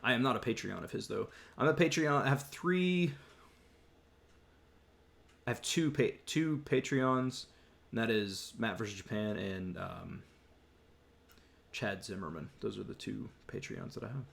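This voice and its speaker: A man's voice: on the low side, measured pace, vocal fry, pauses often, fairly bright tone. A young man hosting a casual live Q&A, rambling with many restarts as he reads and answers chat questions. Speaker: YouTube host answering viewer questions. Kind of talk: casual livestream Q&A.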